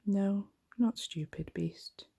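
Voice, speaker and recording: A woman reader plays a kind young storybook heroine, honest and sincere. Her voice is mellow, soft and breathy, with a gentle delivery. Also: mid-range voice, slow pace, no room sound, exaggerated voice. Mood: tender.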